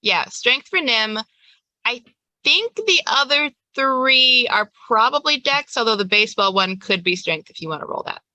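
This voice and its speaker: Very bright-voiced woman game master. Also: on the high side, expressive, moderate pace, no echo, low-quality recording. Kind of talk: casual tabletop game banter.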